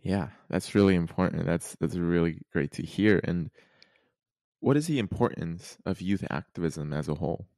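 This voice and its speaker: A man's voice: low voice, moderate pace, a little mellow, no echo, clean studio sound. A teenage boy hosting a podcast interview, friendly and loose, with many fillers. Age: teens. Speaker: podcast host.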